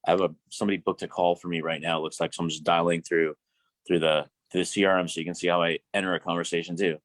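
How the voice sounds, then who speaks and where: A man's voice: deep voice, flat delivery, fast talker, no echo. A man hosting a live coaching Q&A, talking casually in a blunt, profane, rambling way with many restarts.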